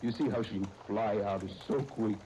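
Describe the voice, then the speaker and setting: Guy doing old italian goldsmith grandpa, lowish voice, broken english, grateful, old radio drama with lots of disc noise. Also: fairly even intonation, moderate pace, natural voice. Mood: confiding. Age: older. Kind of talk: 1930s radio soap acting. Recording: noisy 1939 radio disc recording.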